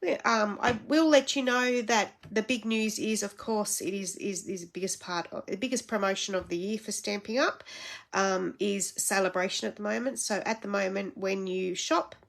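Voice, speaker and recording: A woman's voice: mid-range voice, moderate pace, gravelly. An Australian woman with a blocked-up, congested nose, chatting casually as she hosts a live crafting stream, rambling with many fillers.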